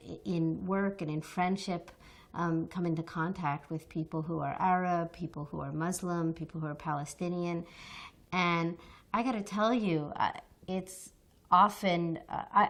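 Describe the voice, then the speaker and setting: Emphatic, passionate lower-voiced woman. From United States. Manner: passionate, emphatic, repeats words when restarting. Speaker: interview guest, activist. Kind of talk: earnest interview answers.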